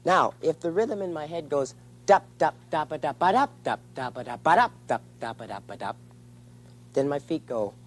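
Polite, gentle male tap dancer. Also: fairly high pitch, lively intonation, moderate pace, hardly any pauses. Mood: cheerful. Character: friendly, polite young male tap dancer visiting puppets. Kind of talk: children's television play.

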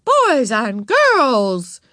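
A woman voicing a firm, encouraging female art teacher instructing a class in a measured way in a storybook. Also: high-pitched, lively intonation, no room sound, clean studio sound, pitched above the performer's own voice.

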